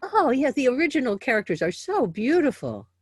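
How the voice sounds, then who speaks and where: A woman's voice: high voice, big pitch swings, a little fast, breathy edge. A woman professor chatting with a student, giving short agreeing replies. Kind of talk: casual conversation.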